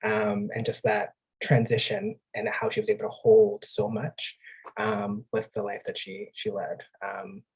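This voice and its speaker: A man taking part in a reading, reflecting earnestly and informally in a husky voice. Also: on the low side, big pitch swings, measured pace, poor audio. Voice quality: husky, breathy. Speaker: reader, event participant.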